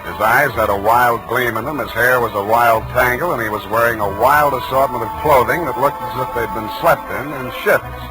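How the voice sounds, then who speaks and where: An actor playing a wry, laconic, tough private detective in a 1940s radio drama. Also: male voice, medium pitch, moderate pace, hardly any pauses, over loud music, lightly acted, rough recording. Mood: wry, weary. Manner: laconic, sardonic, offhand. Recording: vintage 1940s radio broadcast.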